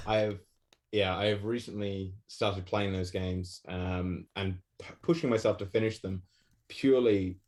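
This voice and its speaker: A man from England with a fairly low voice talks as a podcast guest. He rambles in a relaxed, self-deprecating way, often restarting his sentences and laughing at himself. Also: unhurried. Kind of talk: casual podcast chat.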